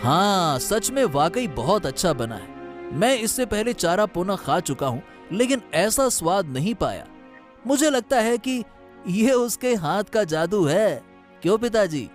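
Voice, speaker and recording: Cartoon young husband, male actor: soft-hearted, earnest, high-pitched with very animated intonation. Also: unhurried, faint music behind. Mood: admiring. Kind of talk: Hindi cartoon moral-story dubbing.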